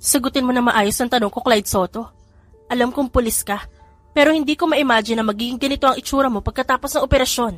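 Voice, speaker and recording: A woman voicing an exasperated young mother who scolds the man she loves, in a high emphatic voice. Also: quick pace, no room sound, faint music behind, natural voice. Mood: scolding. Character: exasperated loving young mother, sharp-tongued.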